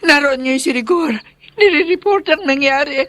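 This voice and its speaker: Anxious, high-voiced mother. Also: normal speed, fairly bright tone. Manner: emotional, fretful. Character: anxious, distraught mother of a missing child. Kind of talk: radio drama acting.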